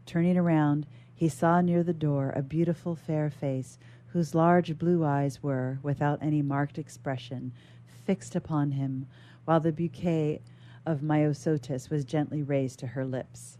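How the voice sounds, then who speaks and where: A woman reads a classic novel aloud in a low voice with a soft, mellow tone. She sounds like a guest reader on a radio show, narrating formal literary prose. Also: fairly even intonation, measured pace.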